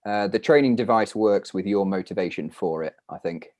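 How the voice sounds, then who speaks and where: A man's voice: fairly low pitch, normal speed, a little mellow. A British man, a climber, answering questions in a casual live chat, rambling a little as he thinks aloud.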